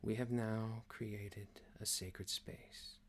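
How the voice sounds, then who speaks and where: A man performing an ASMR roleplay as a calm, genteel boss, speaking in a hushed, deliberate, soothing, often near-whispered way. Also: lowish voice, slightly rough. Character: calm, genteel male boss leading a relaxation ritual. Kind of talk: ASMR roleplay, guided relaxation.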